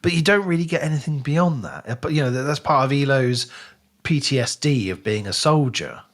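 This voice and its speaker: A man co-hosting a podcast, talking through a book in casual discussion and retelling it in an animated way. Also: mid-range voice, brisk. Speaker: podcast co-host.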